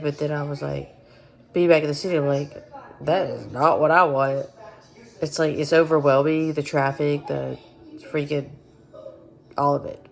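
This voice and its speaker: A woman's voice: sits low, creaky, a little mellow, poor audio. A woman with a Southern US accent, congested and nasal from sinus trouble, chatting bluntly in her kitchen with swearing and dry humor. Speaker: homesteading vlogger. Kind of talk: casual chat to camera. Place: home kitchen.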